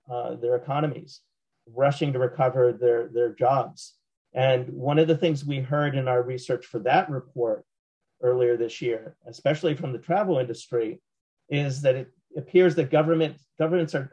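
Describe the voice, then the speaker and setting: Hoarse older man on a video call. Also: mid-range voice, a little mellow, poor audio. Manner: measured, frequent restarts and repeated words. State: slightly hoarse, losing his voice. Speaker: panelist. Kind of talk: panel discussion answer. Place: small home office at night. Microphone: video call, laptop camera.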